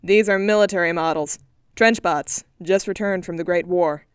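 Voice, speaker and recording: A woman's voice: lowish voice, moderate pace, clear, resonant tone, no echo, studio quality, close to an everyday voice. A woman voicing a wealthy middle-aged male industrialist, wry and businesslike.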